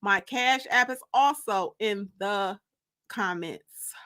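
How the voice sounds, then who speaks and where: A woman's voice: mid-range voice, takes it slowly, sharp tone. An American woman hosting a casual livestream, chatting with viewers in a playful, teasing, colloquial way. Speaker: livestream host and author.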